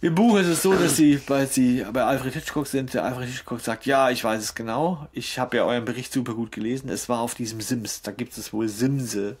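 A man's voice: mid-range voice, a little fast, few pauses, darkish tone, breathy edge. A man co-hosting a casual podcast discussion, explaining things in a colloquial way. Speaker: podcast co-host.

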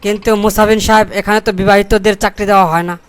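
A man's voice: high voice, level tone, racing, soft background music, close to an everyday voice. A man voicing a scheming, self-important corrupt boss in a comic cartoon, pompous and sly, with colloquial Bangladeshi speech. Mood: mock-formal questioning. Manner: pompous, mock-formal, sly.

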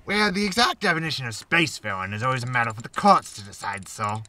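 A man's voice: medium pitch, expressive, fast talker, pushed and bright, distant mic. An actor playing a smarmy, irritable male used-spaceship salesman in a sci-fi comedy audio drama.

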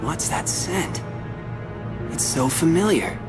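A man's voice: a little high, big pitch swings, slow pace, big-room sound, over music. A young man playing a brooding, wary teenage boy hero in a video game cutscene, terse and troubled. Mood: troubled, suspicious.

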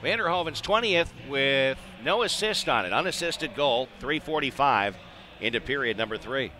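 A man calling live ice hockey play-by-play in clipped phrases, excitable when the action heats up. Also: medium pitch, normal speed. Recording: live arena broadcast with background noise.

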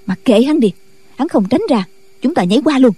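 A woman's voice: high voice, expressive, a little fast, dark tone, breathy edge, dry recording. Woman playing a curt stern nun. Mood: impatient. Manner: curt, commanding. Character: stern senior Buddhist nun, impatient.

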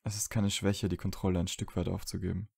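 A man's voice: low voice, little pitch movement, fast talker, vocal fry, a little mellow, slightly rough, no room sound, studio quality, exaggerated voice. A man acting a stubborn, wounded, emotionally open young wizard in a fan audio drama, speaking earnestly and colloquially. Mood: gentle, reassuring.

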